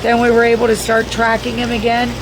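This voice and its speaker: A hoarse woman hosting a livestream, rambling and cursing as she recounts events and reads viewer comments. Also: a little high, completely flat delivery, a little fast. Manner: rambling, profane, exclamatory. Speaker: livestream host. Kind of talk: casual livestream recap reading viewer comments. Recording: noisy background.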